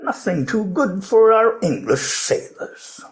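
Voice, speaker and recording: A man acts an elderly colonial plantation owner in a radio play. His voice sits fairly high and swings widely in pitch. He is genteel and pompous, hesitating and rambling courteously. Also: sing-song, slow pace, heavily acted. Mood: rambling, proud. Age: older.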